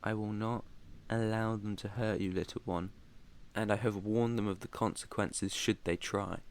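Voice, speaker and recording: A man's voice: fairly low pitch, steady tone, normal speed, natural voice. A British man playing an ancient vampire master in an ASMR roleplay, proud, protective and tender, with an earnest, slightly formal way of talking. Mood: resolute. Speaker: roleplay voice performer.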